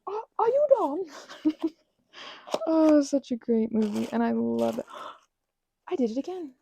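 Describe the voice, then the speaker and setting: A woman chatting casually as she works on crafts at her table, slipping between soft whispers and louder bursts, teasing herself as she goes. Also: sits high, sing-song, unhurried, creaky, breathy edge. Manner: chatty, self-deprecating, drifting between whispers and bursts of talk. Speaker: crafting ASMR creator. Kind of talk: rambling craft chat while working.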